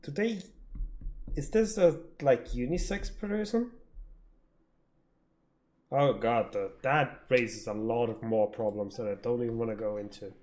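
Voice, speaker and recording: A man's voice: mid-range voice, unhurried, pauses often, darkish tone. A man giving casual live game commentary, musing aloud in a dry, nitpicking way. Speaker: game streamer.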